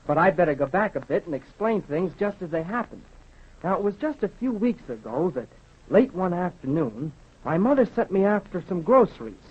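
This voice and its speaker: A man's voice: high voice, very animated, normal speed, slightly rough, exaggerated voice. An American male actor playing an earnest, polite boy telling his adventure in a 1930s radio drama. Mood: chatty, earnest. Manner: polite, eager. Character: earnest, eager boy storyteller Jimmy. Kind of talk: 1930s radio acting, narrating. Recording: dull, muffled 1930s radio recording.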